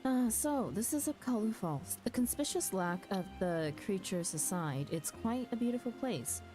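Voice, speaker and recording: A woman chatting casually as she streams a game, halting and muttering asides to herself, sometimes reading dialogue aloud. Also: mid-range voice, lively intonation, dark tone. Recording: music behind the voice. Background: background music.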